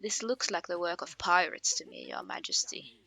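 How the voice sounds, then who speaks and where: A woman's voice: fairly low pitch, expressive, moderate pace, gravelly, bright, forceful tone, heavily acted. A woman voicing a dutiful medieval guard who reports formally and respectfully in a game read-aloud. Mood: dutiful, serious. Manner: formal, deferential.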